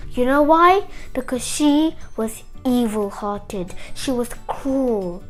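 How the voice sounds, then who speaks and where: A woman hosting a children's story show, telling a tale in an expressive, chatty way and addressing the listener. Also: fairly high pitch. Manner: animated, chatty, asks the listener questions.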